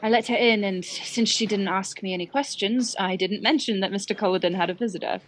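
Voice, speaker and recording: Actress as a gossipy, naive parlour maid. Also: female voice, mid-range voice, steady tone, fairly quick, close to an everyday voice. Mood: eager recounting. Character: naive, chatty young ex-parlour maid, aggrieved and eager. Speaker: actor in a radio play. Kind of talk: dramatic radio-play acting.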